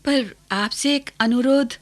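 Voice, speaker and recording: High-voiced, coaxing, scheming young wife. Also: female voice, slow. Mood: deferential, coaxing. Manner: coaxing, deferential. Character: sweet-talking, scheming new wife and stepmother. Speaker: actor in a radio drama. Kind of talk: Hindi radio play acting.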